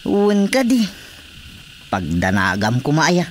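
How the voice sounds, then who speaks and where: A man's voice: a little high, wildly swooping pitch, slow pace, a little hiss or hum, exaggerated voice. A man acting an easygoing, stubborn fisherman husband in an Ilocano-accented radio drama comedy, with a teasing, dismissive manner. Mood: matter-of-fact. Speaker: voice actor.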